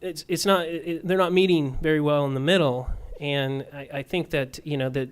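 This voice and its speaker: A man's voice: a little high, fairly even intonation, normal speed, clean tone. A man answering audience questions on a real estate panel, hesitant and full of fillers and restarts.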